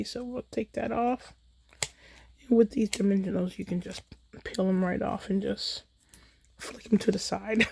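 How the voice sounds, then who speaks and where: Unhurried, mellow-voiced American woman chatting. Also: mid-range voice, creaky, slight background noise. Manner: chatty, relaxed rambling. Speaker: craft livestream host. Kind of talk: casual chat while crafting. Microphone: phone in a holder.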